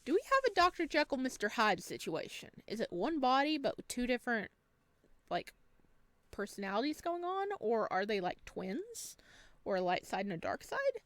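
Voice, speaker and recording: A woman hosting a let's play, reading game text aloud and chatting to viewers with bubbly, expressive reactions. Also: fairly high pitch, moderate pace, dry recording. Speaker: gaming video host.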